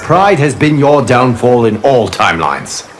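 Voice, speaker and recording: An actor playing a calm, solemn guardian god in a fighting game, speaking in a measured, formal way. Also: male voice, medium pitch. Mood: solemn, composed. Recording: loud game noise behind.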